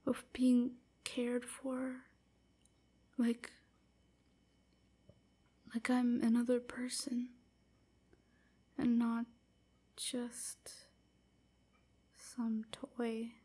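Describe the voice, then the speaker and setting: Casting: woman to play submissive, wounded female vampire slave for whispered ASMR roleplay; very slow, even, breathy, halting delivery. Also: fairly high pitch, exaggerated voice. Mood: wistful, shy. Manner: slow, halting, hushed confiding.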